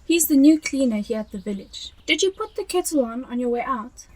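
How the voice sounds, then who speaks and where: A young woman acting as an elderly South African wife in a radio play. She is high-voiced and swoops animatedly through her sentences, insistent, sociable and proud of her home. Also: animated, brisk, a little mellow, rough recording. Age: teenager. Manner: insistent and chatty. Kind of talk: amateur radio drama acting.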